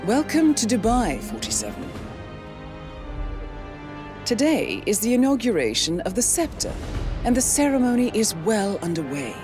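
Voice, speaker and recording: A calm mission handler. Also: female voice, on the high side, moderate pace, pauses often. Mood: briefing. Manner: crisp, precise mission briefing. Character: calm, composed female intelligence handler. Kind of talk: video game cutscene voice acting. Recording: game cutscene with music behind.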